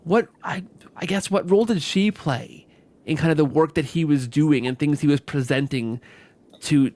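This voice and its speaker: A man hosting a podcast interview in a conversational way, phrasing his thoughts haltingly with repeated words. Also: fairly high pitch, darkish tone. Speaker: podcast host.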